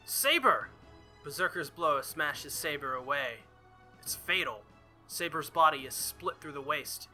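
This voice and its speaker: A man's voice: a little high, bright, forceful tone. A man doing a visual novel playthrough, reading the story aloud dramatically and dropping in casual asides. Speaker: let's player.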